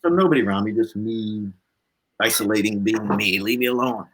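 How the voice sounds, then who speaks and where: A man's voice: fairly low pitch, brisk, slight background noise. A man being interviewed as a guest, casually telling personal stories and acting out bits of dialogue as he goes. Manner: animated anecdotal telling, acting out dialogue, tagging phrases with "right?". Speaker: interview guest, blues musician.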